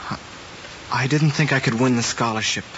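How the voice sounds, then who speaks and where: An actor playing an earnest, guilt-ridden young American student, speaking in a plain, subdued way. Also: male voice, medium pitch, moderate pace, close to an everyday voice. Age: young adult. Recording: dull, noisy old film soundtrack.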